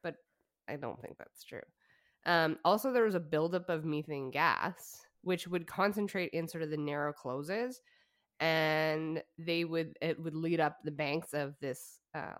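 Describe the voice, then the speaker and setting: Chatty woman telling a story, low voice. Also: a little slow. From Canada. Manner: chatty storytelling with laughs and fillers. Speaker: podcast co-host. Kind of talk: casual chat.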